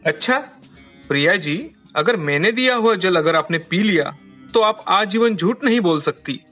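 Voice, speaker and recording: A man voicing a polite, respectful young man of humble means in an animated moral story. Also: sits high, normal speed, faint music behind. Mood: polite, persuasive.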